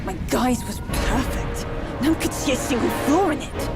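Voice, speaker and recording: A woman playing a theatrical, self-assured schemer from a video game, dramatic, with a husky voice. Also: sits high, expressive, moderate pace, over loud music. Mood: cold, thwarted. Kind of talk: video game character acting.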